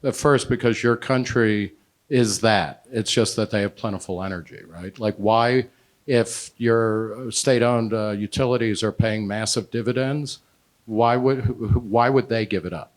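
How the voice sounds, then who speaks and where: A man's voice: lowish voice, fairly even intonation, measured pace. Man moderating a live panel. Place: live panel event, moving among audience with mic. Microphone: handheld microphone.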